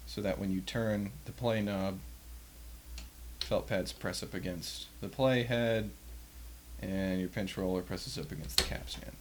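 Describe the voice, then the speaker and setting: Guy with a lowish voice doing an offhand demo of old gear, kinda wry, bit of noise in the background. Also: unhurried. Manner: wry, self-deprecating, offhand. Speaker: host demonstrating vintage audio equipment. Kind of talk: casual unscripted hands-on demonstration.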